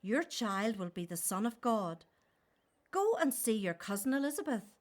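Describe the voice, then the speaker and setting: Casting: devout young woman, high voice, very expressive swings, earnest and wondering, radio drama. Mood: wondering, reverent. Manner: earnest, wondering, measured storytelling. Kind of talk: radio play acting.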